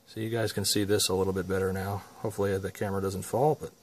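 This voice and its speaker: Low-voiced man giving a casual repair demonstration. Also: flat delivery, fast talker, slight background noise. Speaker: repair tutorial presenter. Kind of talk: casual unscripted hands-on demonstration. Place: indoor electronics workshop. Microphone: handheld phone camera.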